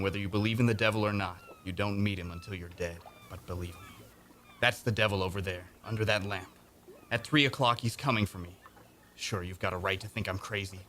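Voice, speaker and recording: A young, nervous male reporter, played by a man, narrates his own strange story in an old-time radio drama. His voice is fairly low but bright and forceful. A faint hiss sits behind him. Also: natural voice. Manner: tense, earnest first-person narration.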